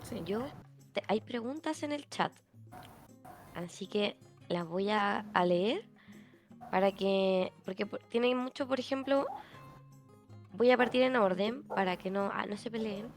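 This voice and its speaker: A woman's voice: mid-range voice, a little slow, long pauses, faint music behind. Chilean woman hosting and reading questions. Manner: rambling, reads audience questions. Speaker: podcast host. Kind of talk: casual interview chat.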